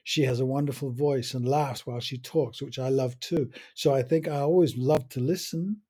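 A man, reading a slow, reflective personal monologue as a podcast host. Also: mid-range voice, fairly even intonation, dark tone, breathy edge. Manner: measured, musing, with long pauses between short phrases.

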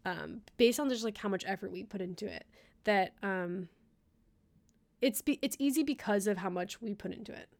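A woman's voice: mid-range voice, normal speed, vocal fry, a little mellow, no echo. A woman hosting a podcast, chatting casually with her guests, laughing often and filling her talk with "like" and "um.". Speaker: podcast host.

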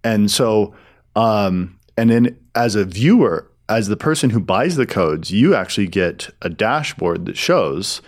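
A man with a very low voice answers questions as an interview guest. He explains things conversationally and haltingly, often repeating a few words before carrying on. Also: lively intonation. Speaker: interview guest, film studio executive.

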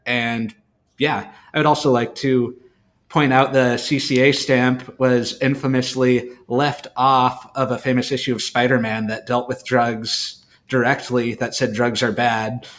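A man's voice: medium pitch, fairly bright tone. A man co-hosting a library podcast, explaining and recommending in a casual, earnest way.

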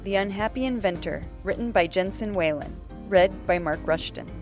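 A woman's voice: medium pitch, normal speed, music in the background. A woman reading scripted announcements as a podcast host.

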